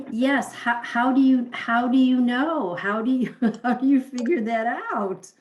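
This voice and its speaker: A woman's voice: fairly high pitch, fairly even intonation, unhurried, lo-fi sound. A woman taking part in a group training, thinking aloud hesitantly and restarting her sentences, speaking over a video call. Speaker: training participant. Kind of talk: reflective group discussion.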